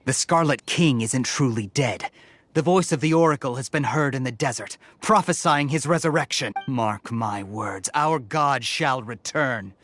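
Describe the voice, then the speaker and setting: A man's voice: medium pitch, a little slow, a bit bright. A man voicing a zealous, scornful desert mercenary in an anime game, sneering and preachy, with music behind. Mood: ominous zeal. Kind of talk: English anime game voice acting.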